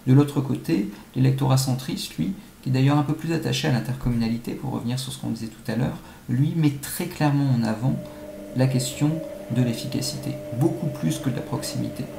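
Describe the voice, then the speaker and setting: Man, gravelly mid-pitched voice, expert interviewee, analytical and measured, roomy noisy recording. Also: warm tone. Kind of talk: explanatory interview answers. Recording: echoey room, faint-to-clear background noise.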